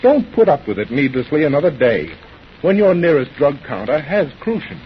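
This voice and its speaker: A man's voice: on the high side, very animated, moderate pace. A man reading a scripted sponsor message as a formal, earnest announcer in 1930s radio style. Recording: muffled, hissy old radio recording.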